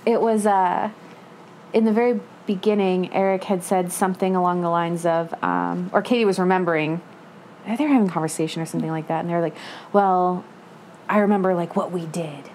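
A woman in a casual book discussion, telling things in an animated way and quoting her own reactions. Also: fairly low pitch, fairly quick. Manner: animated storytelling with self-quoted reactions. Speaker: book club panelist. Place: living room, seated on a couch. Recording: faint room noise.

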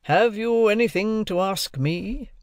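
Man acting a brusque high-pitched domineering lawyer. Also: no room sound, clean studio sound. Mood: interrogating. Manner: cross-examining, emphatic, deliberate. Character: brusque, domineering middle-aged male lawyer guardian. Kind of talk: audiobook character dialogue.

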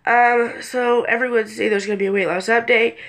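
A woman's voice: on the high side, steady tone, fast talker, fairly bright tone. A woman in her late teens with congested sinuses, chatting casually and hesitantly to camera as a vlogger, easily distracted. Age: late teens, almost 18. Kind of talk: casual rambling chat to camera. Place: small bedroom, indoors.

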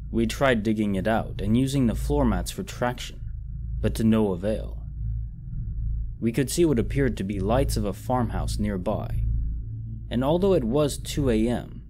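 A man narrating as a storyteller and host in a measured, reflective manner. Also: lowish voice, a little mellow. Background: background music.

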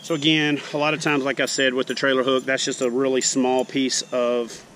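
A man's voice: mid-range voice, a little fast, slight background noise. Folksy man demoing fishing gear outdoors. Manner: relaxed, folksy explaining, plain talk. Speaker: fishing show host. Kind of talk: casual how-to demonstration. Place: backyard lawn outdoors. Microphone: camera mounted close on chest.

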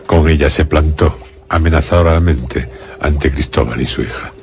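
A man's voice: rumbling low, steady tone, moderate pace, vocal fry, slight background noise. A man narrating a scripted suspense radio play in a solemn storytelling manner. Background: prominent dramatic music.

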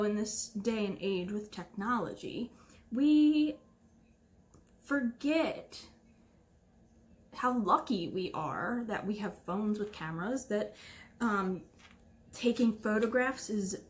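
Woman, late thirties, slightly high voice; rambling, casual knitting-vlog host talking to camera at home. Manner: chatty, rambling, full of fillers and restarts. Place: living room at home, indoors.

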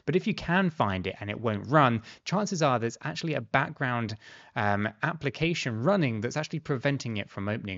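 A man with a gravelly, creaky voice walks through a computer tutorial in a casual, conversational tone. He explains things step by step at a close mic, with a very dry and slightly dull sound. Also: mid-range voice, fairly bright tone, no room sound. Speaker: tutorial host. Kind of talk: casual software tutorial narration. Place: bright high-rise office room, indoors. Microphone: close desk microphone.

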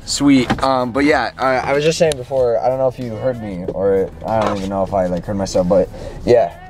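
A man's voice: on the low side, steady tone, a little fast. A young man chatting casually to a handheld camera for his vlog, rambling with lots of fillers, first in his bedroom and then in his car. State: groggy and hoarse after waking. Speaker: vlogger. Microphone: handheld camera at arm's length.

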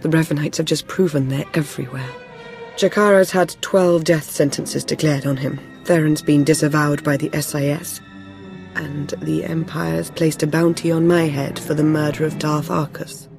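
A woman playing a composed Sith intelligence adviser in a video game, grave, formal and measured. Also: deep voice, steady tone, vocal fry, darkish tone, soft background music. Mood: grave resolve. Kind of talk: dramatic video game acting.

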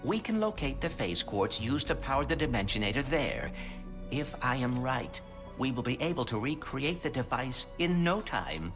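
Little robot companion, courteous, precise, thoughtful, lively intonation, video game, music underneath. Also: male voice, medium pitch, moderate pace. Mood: thoughtful, calm. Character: small, polite, thoughtful male robot sidekick. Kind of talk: video game cutscene acting. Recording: music behind.

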